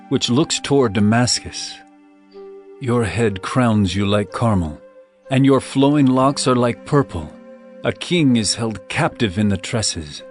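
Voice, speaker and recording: An actor playing an adoring royal lover, declaiming love poetry in a measured, ardent way for a dramatized scripture audiobook. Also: male voice, on the low side, darkish tone. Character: adoring royal male lover praising his bride. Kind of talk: poetic dramatized scripture reading.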